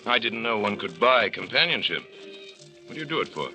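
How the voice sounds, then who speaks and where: An actor in a 1940s radio drama playing a brooding, lonely wealthy widower with a clipped, brusque manner. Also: male voice, on the low side, very animated, brisk, sharp tone, faint music behind, exaggerated voice.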